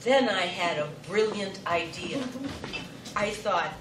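A woman's voice: lowish voice, takes it slowly. An older woman telling a personal story to a live audience with wry, self-mocking humor. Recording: roomy, distant, noisy live recording.

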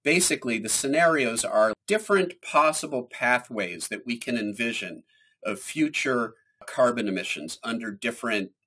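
Husky man explaining science calmly. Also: fairly low pitch, rough recording. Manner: measured, explanatory, emphatic on key points. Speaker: climate scientist guest. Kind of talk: explanatory interview answers.

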